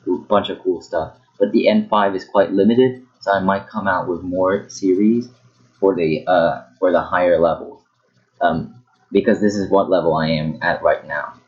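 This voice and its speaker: A man's voice: deep voice, highs cut off. A young man teaching a casual online language lesson, rambling and joking, correcting himself as he goes. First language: not Japanese. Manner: rambling, self-correcting, jokey.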